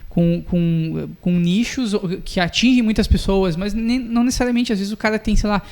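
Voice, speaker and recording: Podcast co-host: young man, gravelly voice, laid-back slangy chat. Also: sits high, normal speed. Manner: relaxed, slangy, uses tu and tá ligado. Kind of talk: casual chat.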